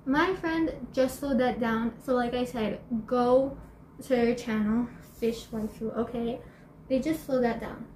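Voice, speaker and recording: A teenage girl chatting in a bubbly way in a casual home vlog, laughing often and filling pauses with fillers. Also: a little high, darkish tone, slight background noise. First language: Spanish and English. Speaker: YouTuber. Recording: echoey room, faint ambience.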